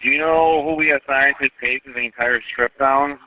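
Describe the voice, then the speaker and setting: A man's voice: mid-range voice, steady tone, normal speed, no room sound. A man speaking as a police commander, giving instructions over a narrowband two-way radio. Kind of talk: police radio dispatch traffic.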